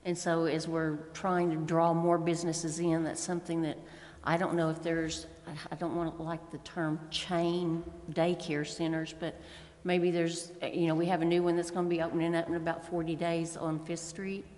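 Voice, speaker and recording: Low-voiced woman in an echoey meeting hall. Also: darkish tone. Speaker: city council member. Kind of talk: informal remarks at a public meeting. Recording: echoey meeting chamber with background noise.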